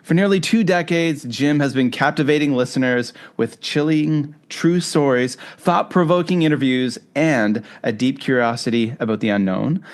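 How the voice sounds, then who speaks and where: A man's voice: medium pitch, normal speed, few pauses. A man from Canada with a Canadian accent, hosting a podcast in a conversational interview style. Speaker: podcast host.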